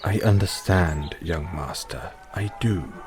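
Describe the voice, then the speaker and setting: A man with a British accent playing a formal, devoted butler who gently chides his young master in an audio roleplay. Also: low voice, over music. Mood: gentle, apologetic. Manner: formal, measured, deferential. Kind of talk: scripted roleplay, intimate second-person address.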